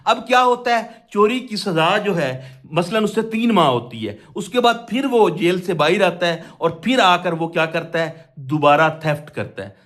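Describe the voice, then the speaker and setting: A man's voice: high-pitched, a bit bright. A Pakistani man giving an explanatory law lecture in a didactic way, posing questions and then answering them himself. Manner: reads quoted provisions slowly in segments. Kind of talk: explanatory lecture mixing Urdu with English legal terms.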